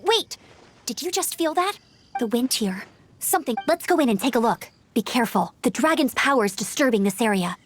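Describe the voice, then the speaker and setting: A woman playing an energetic, earnest young knight scout in a video game, eager and talkative. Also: on the high side, fairly quick, slight background noise, lightly acted. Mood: excited, then serious.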